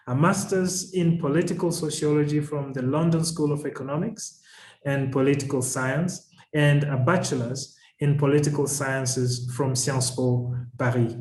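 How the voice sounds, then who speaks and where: A man in his forties moderating a webinar over a video call, formally introducing speakers in a halting, courteous way. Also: a little high, darkish tone. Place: indoors. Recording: roomy echo on video call.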